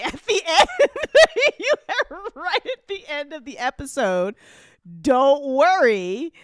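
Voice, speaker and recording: Woman, casual after-show host, chatty, crisp, close dry mic. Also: a little high, dry recording. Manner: chatty, informal, swearing, repeats phrases for emphasis. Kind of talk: casual live stream chat. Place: close indoor mic on a live stream.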